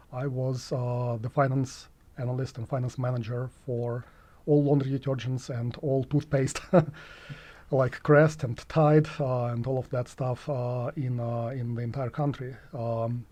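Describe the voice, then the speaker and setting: Russian guy, 40s, prof answering questions in his office, warm mellow voice, lots of uhs and ums. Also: medium pitch. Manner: hesitant, many filler sounds, chuckles while talking. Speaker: economics professor, guest. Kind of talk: casual interview answers.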